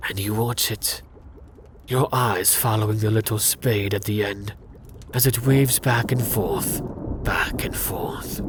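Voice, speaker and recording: A man narrating a scripted second-person fantasy story for ASMR in a hushed, intimate, dramatic way. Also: fairly low pitch, slight background noise.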